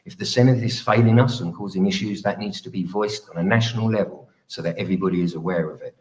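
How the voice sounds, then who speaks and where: Deep-voiced, hesitant male political candidate. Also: quick pace, darkish tone, poor audio. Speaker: Reform UK parliamentary candidate. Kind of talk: hustings policy speech. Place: live public hustings event.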